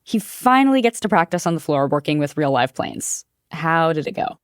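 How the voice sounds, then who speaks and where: A woman's voice: low-pitched, very animated, moderate pace, clean tone, dry recording, clean studio sound. A woman hosting a podcast, asking questions and recapping in a conversational style. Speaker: podcast host.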